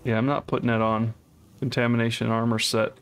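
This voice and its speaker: A man's voice: mid-range voice, flat delivery, brisk. A man chatting casually while playing a co-op game, thinking aloud. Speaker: co-op gamer. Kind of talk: casual gameplay chat. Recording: voice over game music.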